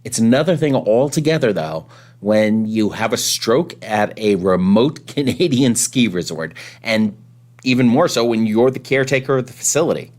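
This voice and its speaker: A man's voice: on the low side, expressive, normal speed, a little mellow. A middle-aged man, hosting a podcast with scripted introductions and conversational interviewing. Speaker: podcast host.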